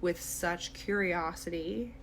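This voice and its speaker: A woman's voice: on the low side, takes it slowly, a little hiss or hum, poor audio. A woman tarot reader speaking directly to the listener in a conversational, reassuring, therapy-minded way during an intuitive reading.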